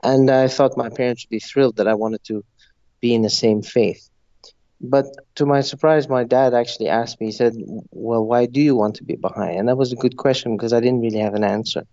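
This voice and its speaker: A middle-aged man from Zambia speaks as an interview guest, talking through his answers in a thoughtful, earnest manner. His voice is of middle pitch. The recording is dry and slightly dull, as if the top end were cut off. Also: steady tone, fairly quick, dark tone, dry recording.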